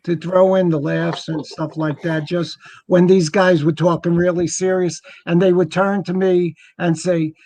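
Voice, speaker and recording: A man from New York with a notably high voice chats casually as a comic radio co-host. He rambles and jokes as he tells anecdotes. The sound is dry, with no room echo. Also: little pitch movement, measured pace, few pauses, dark tone. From New York, United States. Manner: joking, rambling.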